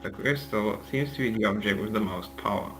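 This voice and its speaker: A man's voice: fairly low pitch, fairly quick. A man reading scripted narration aloud as the narrator of a table read, with music playing behind him.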